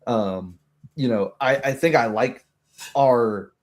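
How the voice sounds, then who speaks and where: A man's voice: medium pitch, slow pace. A man chatting casually as a game master, reflective and given to quoting his own thoughts aloud. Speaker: game master hosting a post-game discussion. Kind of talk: casual chat.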